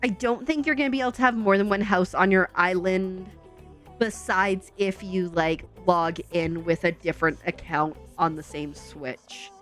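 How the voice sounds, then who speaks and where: A woman's voice: lowish voice, fairly even intonation, normal speed, a bit bright. Chatty young woman streamer, faint music. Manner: chatty, upbeat, giggly, talks to herself while building. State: slightly congested. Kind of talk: casual chat while playing a game.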